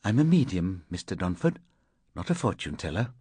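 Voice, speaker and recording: A man's voice: deep voice, sing-song, moderate pace, dark tone, breathy edge, no echo, pitched below the performer's own voice, exaggerated voice. A man voicing a frail, haunted woman medium in an audiobook, pleading and trembling. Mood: guarded, cool.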